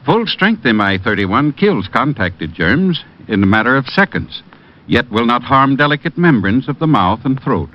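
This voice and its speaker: A man's voice: mid-range voice, sing-song, normal speed. A man with an American accent reading a scripted 1940s radio advertisement as a druggist. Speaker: radio commercial announcer playing a druggist. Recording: muffled old radio recording.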